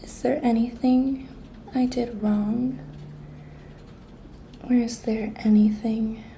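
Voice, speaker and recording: A woman playing a cute, pushy young intern coaxing her boss in an intimate, breathy ASMR whisper, coy and teasing. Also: on the high side, fairly even intonation, slow, a little hiss or hum. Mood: pouty, pleading. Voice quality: breathy whisper, soft-spoken. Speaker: ASMR roleplay performer.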